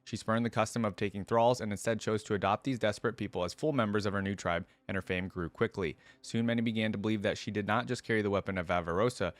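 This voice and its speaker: A man's voice: fairly low pitch, steady tone, fast, few pauses, dry recording. A man hosting a reaction video, talking casually and thinking aloud as he comments and reads text out.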